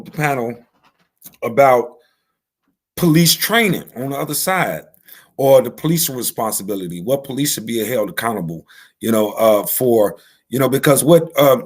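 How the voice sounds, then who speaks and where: Rambling man hosting a video-call panel. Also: medium pitch. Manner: rambling, halting, many fillers. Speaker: panel host. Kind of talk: casual panel discussion.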